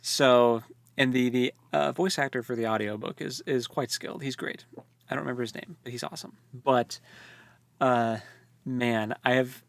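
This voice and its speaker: A man's voice: medium pitch, fairly even intonation, normal speed, creaky, dry recording. Man in casual book chat, dry-sounding room. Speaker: co-host of a book discussion show.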